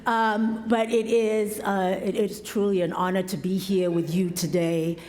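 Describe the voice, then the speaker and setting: A woman priest from South Africa giving a reflective address, telling stories in a slow, thoughtful way. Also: medium pitch, little pitch movement, darkish tone, a little hiss or hum. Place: large hall. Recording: roomy hall reverb.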